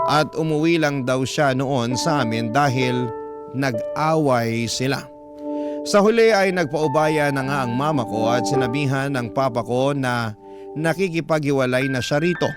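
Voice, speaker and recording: A man with a Filipino accent narrates a dramatic first-person story from a script. His voice is medium in pitch, and music plays prominently behind him throughout. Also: moderate pace. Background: prominent background music.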